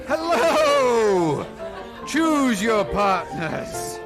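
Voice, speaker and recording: Man playing a very slow, jolly dance caller. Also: extremely high pitch, very animated, exaggerated voice. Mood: jolly, calling out. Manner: singsong, drawn-out calls. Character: jolly old master calling dance figures. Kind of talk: theatrical dance calling in radio play. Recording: loud music over the voice.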